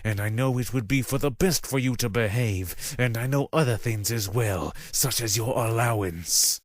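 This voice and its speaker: A man's voice: mid-range voice, normal speed, no room sound, studio quality, close to an everyday voice. A man playing a courteous, fussy middle-aged father with a formal, placating manner in an audio drama. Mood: stern. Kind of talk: fan audio drama acting.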